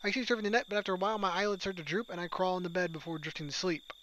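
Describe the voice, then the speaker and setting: A man's voice: sits high, fairly even intonation, racing, bright, forceful tone, muffled recording. A man reading a visual novel aloud, giving its first-person narration and lines plainly.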